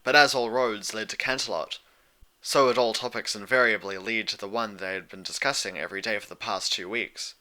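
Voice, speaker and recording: Very bright-voiced man narrating a story. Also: fairly low pitch, fairly even intonation, a little fast. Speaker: fan fiction narrator.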